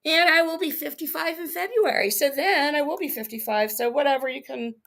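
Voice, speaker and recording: Gravelly high-voiced woman in her fifties. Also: fairly quick, a bit bright. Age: 50s. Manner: defiant, sarcastic, laughs often. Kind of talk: casual livestream chat.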